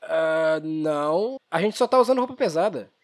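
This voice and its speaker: Man voicing a high-pitched, naive young martial arts hero. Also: expressive, moderate pace, a little hiss or hum. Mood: naive, upbeat. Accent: Brazilian Portuguese. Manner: naive, guileless. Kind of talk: comedic anime parody fandub.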